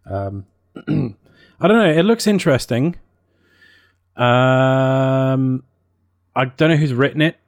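A man's voice: mid-range voice, expressive, takes it slowly, pauses often, no echo. Man chatting casually, dry close sound. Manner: hesitant, thinking aloud. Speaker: podcast co-host. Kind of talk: casual chat about games and films.

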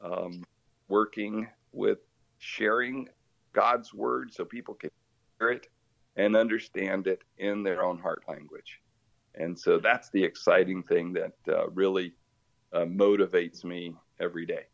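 Man in his 50s from Brazil, native Portuguese speaker, friendly and rambling, very deep gravelly voice, dull lo-fi call audio. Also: fairly even intonation, a little slow. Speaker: interview guest, missionary. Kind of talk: casual interview talk.

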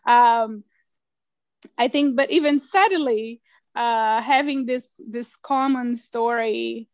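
A middle-aged woman from Brazil, a writer answering interview questions in a thoughtful, halting way, restarting phrases and laughing softly. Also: fairly high pitch, creaky, no room sound, highs cut off. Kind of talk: casual interview conversation.

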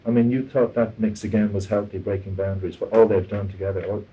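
Irish man, interviewer, rapid speech, soft dark tone, conversational, eager interjections. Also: on the low side, steady tone, rough recording.

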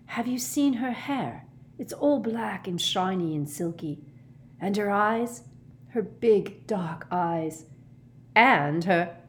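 A woman's voice: lowish voice, animated, slow, slight background noise. A woman voicing a lovesick teenage boy in a storybook reading, breathless and dreamy, easily enraged. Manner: breathless, sighing, overwrought delivery.

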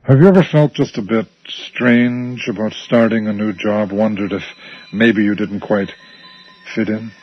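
Ominous low-voiced male radio horror host. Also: fairly even intonation. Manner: ominous, deliberate, dramatic pauses. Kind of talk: scripted 1980s radio horror introduction. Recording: dull old radio broadcast. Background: music.

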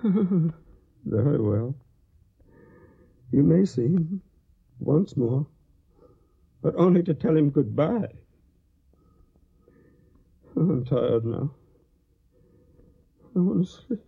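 Grave ailing older father, husky, formal, radio drama, dull lo-fi recording. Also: on the high side, lively intonation, drawn-out words, long pauses, full character voice. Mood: weary. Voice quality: husky, gravelly, weakened by illness. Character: ailing, stern Tennessee father in 1861.

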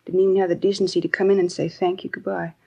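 An actress playing a disgruntled young secretary in a 1950s radio crime drama, talking in casual, complaining, clipped phrases. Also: female voice, fairly low pitch, little pitch movement, fast talker, vocal fry, a little mellow, husky, poor audio. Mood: aggrieved. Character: disgruntled young secretary, talkative, put-upon, street-wise.

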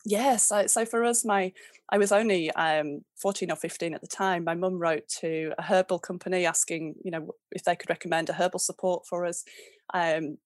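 A woman with a lowish voice talks through her answers in a chatty, meandering way, circling back on her words. The sound is dry and close, with no room around it. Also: steady tone, moderate pace, dry recording. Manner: chatty, rambling with frequent restarts and laughs. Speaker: guest homeopath. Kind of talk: conversational interview.

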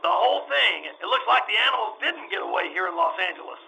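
A man's voice: high-pitched, expressive, fast talker, creaky, bright, forceful tone, slight background noise, full character voice, low-quality recording. A man playing a nervous, folksy, evasive but chatty small-time carnival man in old-time radio drama style, stammering and repeating words. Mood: excited.

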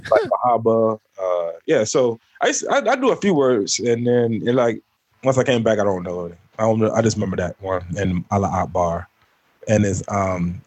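A man's voice: lowish voice, animated, normal speed, darkish tone, dry recording. A man in casual chat, stammering and repeating words self-consciously.

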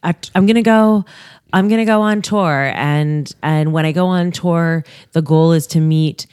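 Upbeat low-voiced woman, motivational. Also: measured pace, a little mellow, clear, resonant tone, dry recording, clean studio sound. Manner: upbeat, motivational, rises when giving advice. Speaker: guest. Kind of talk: casual interview talk.

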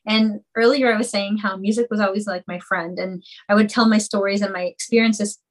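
A woman's voice: mid-range voice, fairly even intonation, a little fast, poor audio. Earnest woman sharing her story. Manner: earnest, heartfelt, talks in long flowing runs. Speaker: podcast guest, mother and singer. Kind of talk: personal interview conversation.

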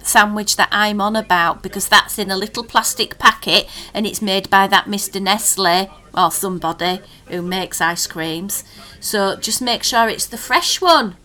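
A woman's voice: medium pitch, bright, forceful tone. A woman co-hosting a casual podcast chat, teasing her co-host and reading out listener messages. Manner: chatty, teasing, reads listener tweets aloud.

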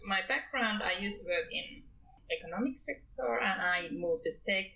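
A woman's voice: medium pitch, normal speed, creaky, bright, forceful tone, a little hiss or hum, poor audio. A woman from Finland in a casual podcast chat, using simple phrasing and giggling often.

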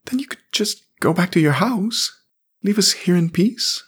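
A lonely, defensive young boy speaks in a high, childlike voice, as a man reading an audiobook puts him on. He sounds sulky and pleading, and the voice swoops up and down. He talks slowly, with a husky edge. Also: expressive, a bit bright, full character voice. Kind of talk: audiobook character voice.

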